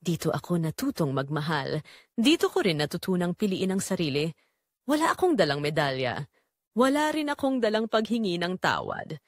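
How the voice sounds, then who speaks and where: A woman's voice: lowish voice, wildly swooping pitch, normal speed, no room sound, studio quality. A woman with a Filipino accent narrating a scripted first-person drama in an earnest, emotive way.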